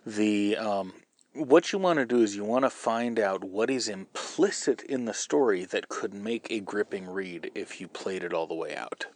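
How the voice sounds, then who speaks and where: A man's voice: lowish voice, normal speed, darkish tone, dry recording. A man hosting a podcast, explaining writing craft in an informal, colloquial way. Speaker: podcast host and novelist. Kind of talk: casual writing advice podcast.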